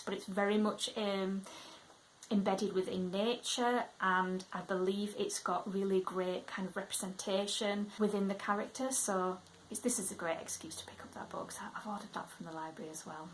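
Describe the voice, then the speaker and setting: Woman, Yorkshire accent, book vlogger, mid-pitched voice, chatty, trails off into soft asides, faint background hiss. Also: poor audio. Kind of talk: casual book vlog, reading blurbs aloud.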